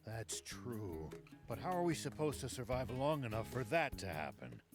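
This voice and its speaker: A man voicing a grave, decisive old sheriff in a video game, measured and authoritative. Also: mid-range voice, sing-song, music in the background, natural voice. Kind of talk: video game cutscene voice acting.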